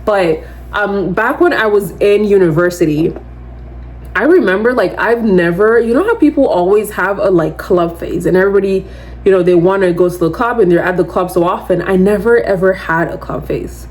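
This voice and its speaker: A woman in her twenties with a loud voice, hosting a podcast in casual, rambling solo chat, full of "like" and animated asides. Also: mid-range voice, moderate pace. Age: 20s. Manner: chatty, rambling, heavy use of "like"; animated, slips into quoting others. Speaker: podcast host.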